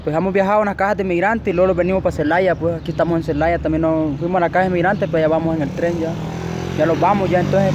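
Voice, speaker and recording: A man with a Honduran accent giving a rambling interview testimony. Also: on the high side. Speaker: migrant interviewee. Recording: muffled, noisy roadside recording.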